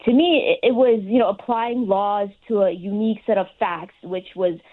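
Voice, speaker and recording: A woman's voice: mid-range voice, moderate pace, phone-quality sound. A young adult woman speaking as an interview guest, giving conversational answers. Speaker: interview guest, sports fellowship participant.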